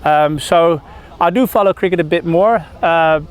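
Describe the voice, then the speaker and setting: Cricketer from the Netherlands, thirties, a touch high-pitched, halting, full of ums and restarts, outdoor press scrum. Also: male voice, clear, resonant tone. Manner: hesitant, lots of fillers and restarts. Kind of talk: press interview.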